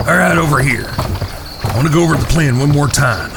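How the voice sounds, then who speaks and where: An actor in an audio drama playing the cautious, bossy older brother who leads Old West outlaws, with a gravelly voice. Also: male voice, a little high, expressive, normal speed, background noise. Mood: firm, weary. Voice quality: creaky, gravelly.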